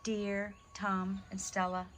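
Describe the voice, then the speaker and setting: A woman voicing a kindly ageing male gardener's storybook farewell letter in a gentle, measured, wistful way. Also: medium pitch, completely flat delivery, a little hiss or hum. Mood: wistful, fond.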